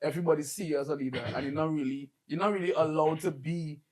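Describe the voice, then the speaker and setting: A man's voice: fairly high pitch, fairly even intonation, fast. A man hosting an interview, asking questions in a thoughtful, reflective way. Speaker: interview host. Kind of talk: casual interview chat.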